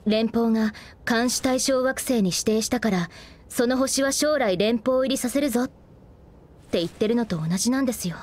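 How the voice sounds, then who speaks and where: A woman plays a friendly young space officer in a game cutscene, her voice sitting a bit high. She explains things in a polite, earnest way. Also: normal speed, darkish tone, close to an everyday voice. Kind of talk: video game cutscene voice acting.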